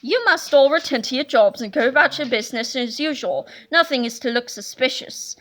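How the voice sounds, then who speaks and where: A pompous, scheming gym teacher, put on by a woman reading a storybook aloud. He orders his minions around with slow, sneering menace. The tone stays bright and clear. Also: high voice, expressive, pitched below the performer's own voice.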